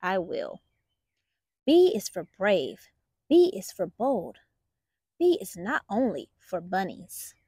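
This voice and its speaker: A woman reading a children's picture book aloud as a storytime host, in an expressive, deliberate storyteller's manner. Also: mid-range voice, breathy edge, no echo, studio quality.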